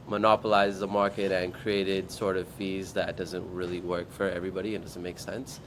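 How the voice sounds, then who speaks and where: A man from Guam, a startup founder, telling his story in an interview in a relaxed way and acting out his past thoughts. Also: low voice, slight background noise. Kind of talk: interview answers.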